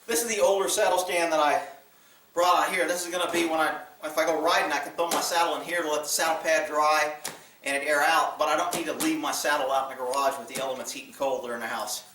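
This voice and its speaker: An American man with a notably high voice talks casually to his viewers. He rambles in a plainspoken, folksy way and curses mildly. The sound is clearly echoey and roomy. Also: fairly even intonation, quick pace, pushed and bright, slight background noise. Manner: rambling, blunt, folksy with mild cursing. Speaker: video channel host. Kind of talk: casual vlog chat.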